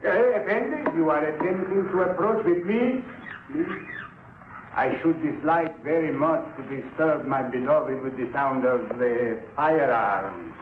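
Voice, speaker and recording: Oily smuggler who stammers and flatters, played by a man; tinny, echoey old radio. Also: on the high side, a little slow, background noise, lightly acted. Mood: sly. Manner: unctuous, overly polite, stammering. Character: oily, fawning middle-aged male smuggler and gambler. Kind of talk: 1950s radio drama acting.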